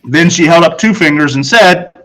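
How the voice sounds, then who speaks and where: A man with a slightly high voice reads a story aloud to children. He narrates like a teacher with a class. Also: expressive, normal speed, a bit bright, poor audio. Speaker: audiobook reader, teacher.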